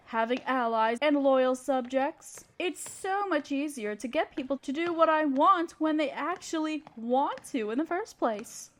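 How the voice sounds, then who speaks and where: Evil queen for fantasy audio drama, female performer: high-pitched, haughty and conniving, grand theatrical delivery, talks down to everyone. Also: expressive, a little slow, few pauses. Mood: haughty, grudging. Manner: imperious, theatrical, condescending. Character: scheming evil queen, haughty tyrant gloating to her advisor. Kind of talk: scripted roleplay audio drama monologue.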